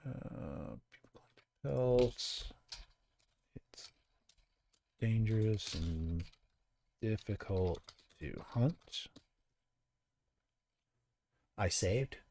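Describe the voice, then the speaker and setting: A man in his late forties chats casually on a live painting stream. His voice sits on the low side. He rambles and jokes while reading viewer comments aloud. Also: animated, extremely slow, long pauses, dark tone, slight background noise. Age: 40s. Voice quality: graying beard mentioned, easygoing. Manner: rambling, joking, reads chat aloud.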